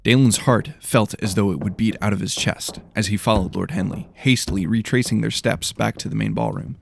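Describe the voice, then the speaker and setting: A man's voice: lowish voice, fairly even intonation, fairly quick, gravelly, slight background noise. A man narrating a scripted fantasy story in a dramatic storytelling style.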